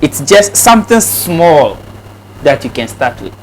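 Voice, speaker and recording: A man's voice: fairly high pitch, sing-song, unhurried, a little hiss or hum, low-quality recording. A man with a Ghanaian accent answering casually as an interview guest and explaining things like a trainer. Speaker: interview guest, snail farming trainer and channel owner.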